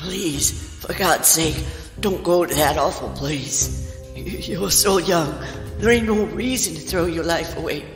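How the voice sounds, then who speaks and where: An old village woman, put on by a man, pleads slowly in a homespun, countrified way. Her voice rings out with heavy echo and a long reverb tail. Faint music sits under her. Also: fairly high pitch. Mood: pleading. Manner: slow, begging, countrified speech.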